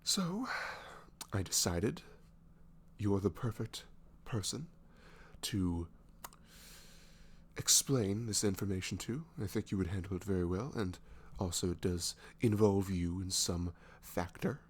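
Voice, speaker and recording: A man playing a goofy young best friend in an ASMR roleplay, halting and mock-professional. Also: low-pitched, husky. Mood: mock-serious, playful.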